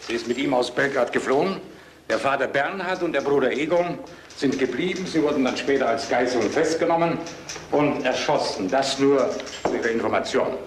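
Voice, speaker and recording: An actor playing a curt German major. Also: male voice, mid-range voice, normal speed, echoey room, close to an everyday voice. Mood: cold. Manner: curt, authoritative. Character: German army major, cold and commanding. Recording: hissy film soundtrack.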